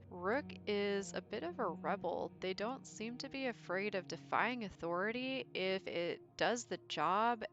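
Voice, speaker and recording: A woman's voice: mid-range voice, fairly bright tone. A woman hosting a video, reading scripted lore commentary about a video game. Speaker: video host. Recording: music behind the voice. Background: music.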